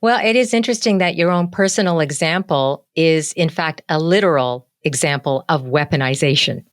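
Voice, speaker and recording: A woman's voice: sits low, normal speed, dry recording, studio quality. A woman hosting a podcast interview, asking questions in a conversational way. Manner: conversational, encouraging listener sounds. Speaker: podcast host.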